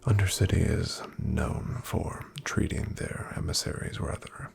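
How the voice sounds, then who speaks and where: A man in an ASMR roleplay voicing a calm, careful paladin travel companion, softly whispering to the listener. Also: low-pitched, a little slow, vocal fry, full character voice. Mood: calm hushed. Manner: soft, gentle whispering to the listener.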